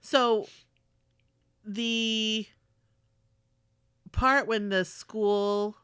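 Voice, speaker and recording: A woman's voice: on the high side, fairly even intonation, extremely slow, a bit bright, clean tone, dry recording, studio quality. A woman co-hosting a casual podcast, agreeable and hesitant, often restarting her sentences. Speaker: podcast co-host. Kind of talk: casual chat.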